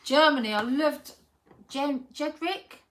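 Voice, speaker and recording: A woman's voice: sits high, slow, fairly bright tone. A middle-aged woman chatting casually with her son on a livestream, chiming in with short, chirpy reactions and questions. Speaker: host's mother, co-guest. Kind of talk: casual chat.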